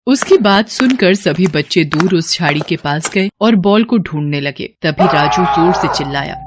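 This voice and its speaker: A woman's voice: lowish voice, expressive, moderate pace, background noise. A woman narrating a scripted horror story for children as a storyteller.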